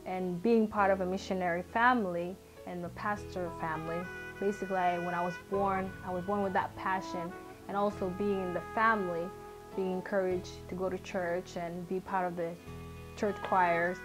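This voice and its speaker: A woman's voice: on the low side, flat delivery, normal speed, music in the background, rough recording. A young woman from South Sudan, a musician, telling her story in an earnest, chatty way with fillers and restarts. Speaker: guest musician. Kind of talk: casual personal storytelling.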